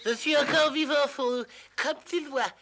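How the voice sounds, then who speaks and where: A man's voice: very high-pitched, fairly even intonation, moderate pace, creaky, noisy background. A man voicing an earnest, polite male water rat in a French cartoon dub, speaking a little hesitantly.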